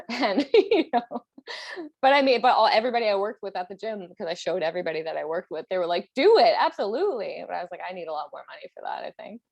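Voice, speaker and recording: A woman chats casually in a dry, close-sounding space. Her voice sits at a middle pitch, and she has a joking, self-deprecating way of talking that breaks easily into laughter. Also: sing-song, quick pace, gravelly, no room sound. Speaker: podcast host. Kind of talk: casual chat.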